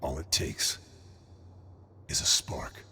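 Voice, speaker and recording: An actor playing a stoic, resolute wartime Allied soldier in a video game, grave, terse and understated. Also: male voice, slow pace, in a whisper. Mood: quietly resolute. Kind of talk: video game cutscene acting. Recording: loud ambience and vehicle noise.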